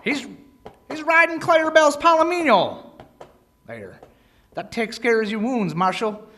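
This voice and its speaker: A man voicing a loyal, eager, excitable frontier mule skinner in an old-time Western radio drama, shrill and folksy. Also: measured pace, heavily acted. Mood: excited, determined.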